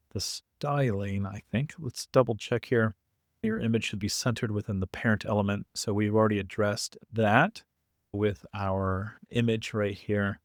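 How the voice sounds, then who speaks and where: Man, lowish voice, dry close recording, casual step-by-step instructor, thinking aloud. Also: no echo. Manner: informal, thinking aloud while working. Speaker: coding tutorial instructor. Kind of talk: step-by-step screen tutorial, casual.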